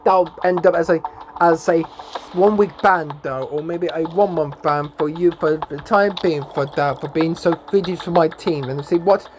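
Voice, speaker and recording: A man giving casual gameplay commentary as a YouTuber, rambling and stumbling over his words, his voice hoarse and going. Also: on the high side, moderate pace, background noise. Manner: rambling, stumbling, tags lines with "eh?" and "then, guys". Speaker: gaming YouTuber. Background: game music.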